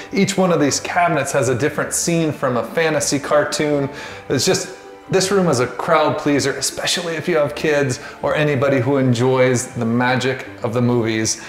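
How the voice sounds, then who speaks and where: Guy in his 40s selling a house on camera, upbeat pitch, roomy sound w music under it. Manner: enthusiastic, salesy. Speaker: real estate agent presenting a home tour. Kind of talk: upbeat promotional presentation to camera. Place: house porch and empty wood-panelled rooms. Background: background music.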